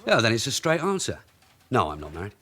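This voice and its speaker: A man acting in a radio drama as a shrewd veteran police sergeant with a London accent and a dry, brisk manner. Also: lowish voice, huge pitch swings, fairly bright tone, faint music behind. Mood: wry, bantering.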